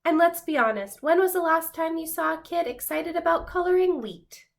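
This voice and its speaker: A woman's voice: very high voice, expressive, moderate pace, vocal fry, a little hiss or hum, voice pushed up, full character voice. A woman voicing a proud, indignant beige crayon from a storybook, complaining emphatically.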